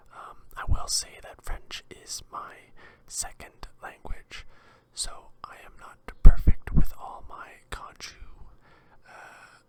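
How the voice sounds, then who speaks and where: An ASMR host from Quebec with a Canadian accent, rambling in a halting, hesitant whisper. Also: sharp tone.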